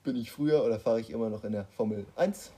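Husky man in casual, playful banter. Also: on the low side, quick pace, warm tone. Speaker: co-host playing a guessing game. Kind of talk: casual banter during a who-am-I guessing game.

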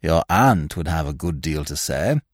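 A man voicing a henpecked, anxious older English uncle in a comic audiobook, speaking in a hushed, hesitant, apprehensive way. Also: sits low, sing-song, slow pace, dry recording, clean studio sound, voice pushed down, full character voice. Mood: timid, rueful. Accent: British. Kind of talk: comic audiobook character reading.

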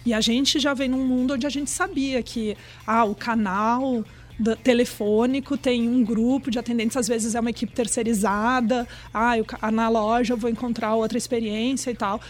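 A woman's voice: a little high, steady tone, normal speed. A middle-aged woman with a Brazilian accent, explaining things in a chatty, conversational way and often checking for agreement.

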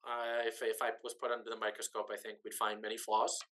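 A man's voice: fairly low pitch, monotone, fast, a bit bright. A man talking in a long-form interview over a video link, explaining things conversationally and rephrasing for emphasis. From Canada, Ukrainian diaspora family. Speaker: interview guest, businessperson.

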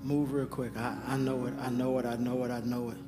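A man who is a pastor giving an altar call and announcements to his congregation, with a hoarse voice he says he keeps losing. He talks in a coaxing, repetitive preaching manner. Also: medium pitch, little pitch movement, brisk. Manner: urgent repeated coaxing, preacherly. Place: church sanctuary. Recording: live church sound with music and crowd. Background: music and congregation.

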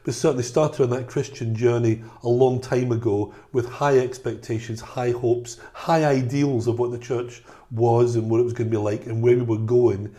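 A middle-aged man from Scotland with a softened Scottish accent, co-hosting a church podcast in an earnest, storytelling way. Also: mid-range voice, steady tone, moderate pace, hardly any pauses. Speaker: podcast co-host, church leader. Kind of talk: casual chat.

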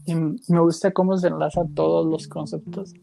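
A woman's voice: on the low side, level tone, fairly quick, gravelly. A woman in a casual, reflective conversation, thinking aloud and searching for her words. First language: Spanish. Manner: musing, hesitant, searching for words.

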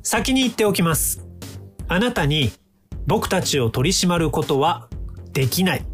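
An actor in a radio drama playing a calm, smug inventor from the future, polite and lecturing in a self-assured way. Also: male voice, a little high, moderate pace, faint music behind. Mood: coolly confident.

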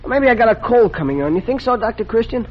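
A man's voice: extremely high pitch, expressive, fast, slight background noise. A whiny teenage boy. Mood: pleading. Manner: pleading, youthful. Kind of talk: 1940s radio acting. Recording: muffled old radio broadcast.

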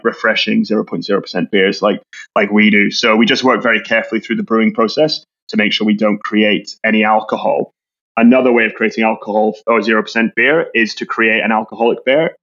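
A Scottish man, a brand co-founder, talking quickly in a casual business interview and often repeating words as he restarts. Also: fairly low pitch, fairly bright tone, highs cut off. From Scotland.

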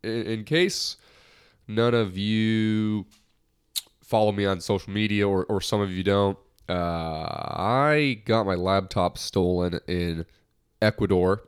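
Man, young adult, very low voice, smooth, slightly nasal, lighthearted rambling podcast host. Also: takes it slowly. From United States. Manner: lighthearted, rambling, many restarts. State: slightly nasal from a recent cold. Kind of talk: casual solo podcast talk.

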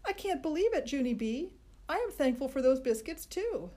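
A woman voicing an excitable little schoolboy who squeals with eager delight in an audiobook. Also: high voice, normal speed, pitched above the performer's own voice. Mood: thrilled. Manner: squealing, thrilled.

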